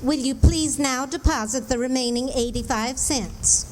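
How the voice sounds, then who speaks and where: A woman playing a brisk, formal long-distance telephone operator in an old-style radio drama. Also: sits high, a little hiss or hum, natural voice. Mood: businesslike, patient. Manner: formal, procedural.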